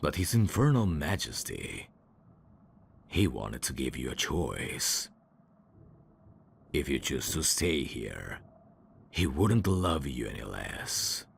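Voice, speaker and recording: A man voicing a sly demon servant in an ASMR audio drama, courtly and theatrical with irreverent, sardonic asides and a very deep, gravelly voice. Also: very animated, slow, long pauses, slight background noise, heavily acted. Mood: earnest, loyal.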